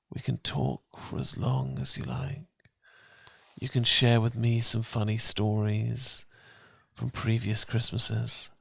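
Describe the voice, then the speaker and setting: British guy doing boyfriend asmr, really creaky breathy soft voice, slow n calming, close dry mic. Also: lowish voice, no echo. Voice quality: soft, breathy, gravelly, partly whispered. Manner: gentle, calming, intimate, unhurried. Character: loving, reassuring boyfriend. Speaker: ASMR roleplay performer. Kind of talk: romantic ASMR, soothing guided meditation. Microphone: close, dry ASMR miking.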